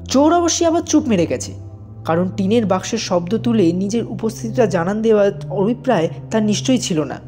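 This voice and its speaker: Mellow-voiced male suspense story narrator. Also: high voice, expressive, a little fast. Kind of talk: dramatic scripted audio story narration. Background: background music.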